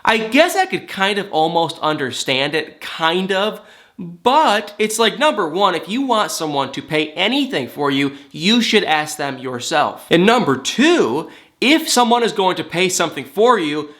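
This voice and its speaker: Bright-voiced man with a head cold. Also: high voice, very animated, unhurried. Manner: chatty, emphatic, opinionated. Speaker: TV recap host. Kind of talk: casual reality TV recap.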